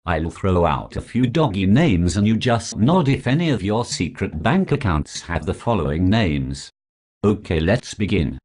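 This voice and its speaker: An actor playing a foul-mouthed prosecuting lawyer who talks with sarcastic contempt. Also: male voice, low-pitched, very animated, measured pace, a little mellow, heavily acted. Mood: mocking. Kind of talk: comedy sketch acting.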